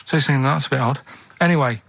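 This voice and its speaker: A man's voice: mid-range voice, rapid-fire, gravelly, fairly bright tone. A Northern English man from the Manchester area chatting casually on radio, telling rambling anecdotes in a matter-of-fact way and often checking in with "right?". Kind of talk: casual chat, rambling storytelling. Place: radio studio. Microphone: studio microphone.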